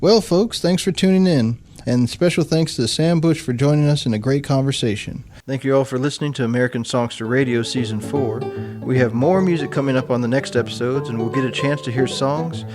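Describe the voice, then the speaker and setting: A male radio host with a mid-pitched voice reads a sign-off in a bright, upbeat announcer manner. Loud guitar music plays behind him in the studio. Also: a little fast. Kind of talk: scripted show sign-off.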